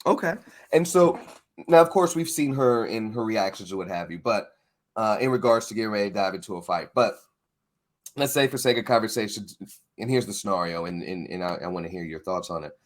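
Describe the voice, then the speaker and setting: A man hosting a casual interview, talking in an animated, rambling, slangy way. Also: on the low side, a little fast. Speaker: interviewer and host of a comics breakdown show.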